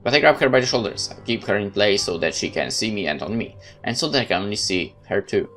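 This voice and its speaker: A man's voice: lowish voice, animated, fairly bright tone, soft background music. A man giving a theatrical first-person reading of a horror visual novel. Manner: measured, theatrical narration. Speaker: game let's-play narrator.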